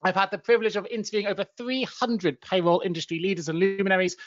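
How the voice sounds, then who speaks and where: A fluent, upbeat man in his forties hosts a professional webinar with a high voice. He sounds dry and close, with no room echo. The audio is muffled and lo-fi, like a video call. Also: fairly even intonation, fast talker. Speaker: webinar and podcast host. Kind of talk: webinar hosting.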